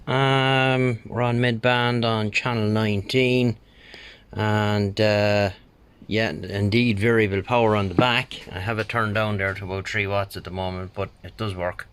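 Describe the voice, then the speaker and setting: An Irish man talking casually through a repair job, thinking aloud in an unhurried, colloquial way. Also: lowish voice, clear, resonant tone. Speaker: radio repair technician. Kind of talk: casual spoken bench walkthrough. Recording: steady background noise, bench clicks.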